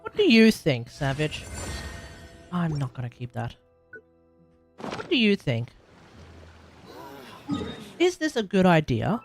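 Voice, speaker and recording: A young adult Australian game streamer, rambling casually while playing, musing aloud and chatting to viewers with sudden loud outbursts. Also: medium pitch, wildly swooping pitch, takes it slowly, long pauses, music in the background.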